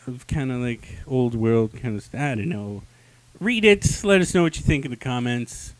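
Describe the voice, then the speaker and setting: A man's voice: mid-range voice, lively intonation, normal speed, no echo. A young man hosting a review show in casual, jokey, slangy chat, speaking into a handheld mic. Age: young adult. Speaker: host of a comics review show. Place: small room, indoors on a couch. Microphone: handheld microphone, held close.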